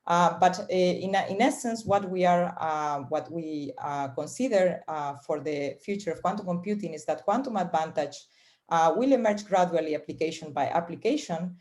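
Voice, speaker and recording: A woman presents at an online conference over a video call. Her voice is fairly low and mellow. She speaks with frequent hesitations and fillers. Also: moderate pace.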